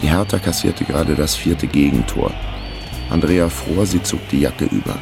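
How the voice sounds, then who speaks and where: A man's voice: a bass voice, normal speed, creaky, music in the background. A man narrating a radio crime drama as a laconic amateur sleuth, in a terse, matter-of-fact way. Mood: laconic, tense. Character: laconic male amateur sleuth telling his own case.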